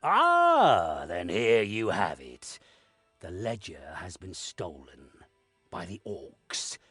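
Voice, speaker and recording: Stern commanding lord, deliberate, rough husky tone, animated intonation, game cutscene. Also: male voice, sits low, no room sound, faint music behind, full character voice. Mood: measured, knowing. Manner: authoritative, deliberate. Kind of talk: video game cutscene acting.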